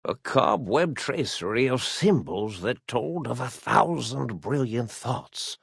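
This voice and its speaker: An actor voicing an eccentric, absent-minded old mad scientist in a video game, with a theatrical delivery. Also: male voice, medium pitch, animated, slow pace, gravelly, slightly rough, no echo, studio quality, heavily acted. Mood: solemn, subdued narration. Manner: rambling, stammering, theatrical self-corrections.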